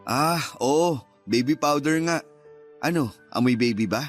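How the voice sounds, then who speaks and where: A man's voice: medium pitch, measured pace, lightly acted. An actor playing a caring Filipino father and husband in a Tagalog radio drama. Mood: gentle, relaxed. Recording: music under the voice.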